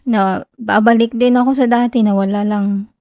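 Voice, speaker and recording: Woman acting a whiny, flustered young Filipino tsundere, high-voiced. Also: steady tone, warm tone, no room sound, muffled recording, lightly acted. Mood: shy, vulnerable. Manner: whiny, stammering, halting with nervous giggles. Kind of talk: Tagalog ASMR romance roleplay.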